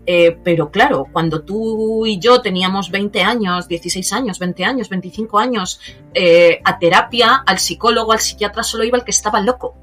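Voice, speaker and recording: A woman, a podcast host who talks at length with many fillers and lively asides. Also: mid-range voice. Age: 40s. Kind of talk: casual interview conversation.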